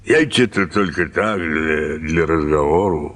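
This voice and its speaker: A man's voice: a bass voice, measured pace, a bit bright, full character voice. An actor playing a gloomy, tipsy provincial man who lays down his views in a ponderous drawl, in a radio play. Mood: tipsy, coaxing. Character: drunken gloomy provincial man preaching that everything is superfluous. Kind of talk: Russian radio play acting.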